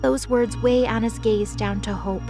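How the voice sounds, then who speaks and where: Female narrator for scripted audio drama; slightly high voice, music under the read. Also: fairly even intonation, unhurried.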